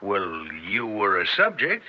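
Blunt police investigator, lively pitch. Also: male voice, a little slow, full character voice. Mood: skeptical, probing. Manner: blunt, direct questioning. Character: male state police investigator, brisk, probing, skeptical. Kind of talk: old-time radio drama acting. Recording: dull, band-limited old radio recording.

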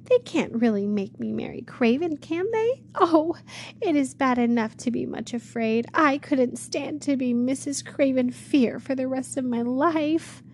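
A woman's voice: sits high, measured pace, a little hiss or hum, voice pushed up. A woman playing a fearful, pleading young maiden. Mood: frightened. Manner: anxious, tearful pleading.

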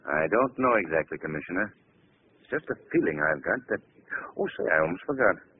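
An actor playing a calm, shrewd gentleman sleuth who thinks aloud in deliberate, theatrical 1940s radio style. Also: low voice. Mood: calm, musing. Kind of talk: 1940s radio mystery acting. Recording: noisy, narrow-band old radio broadcast.

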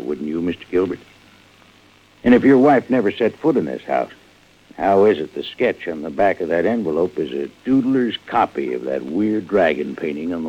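Folksy american sheriff, real low voice that moves around a lot, sly n casual, old muffled radio. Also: male voice, warm tone, husky, full character voice. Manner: casual, probing, understated. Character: shrewd, folksy sheriff slowly cornering a suspect. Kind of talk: 1940s American radio drama acting.

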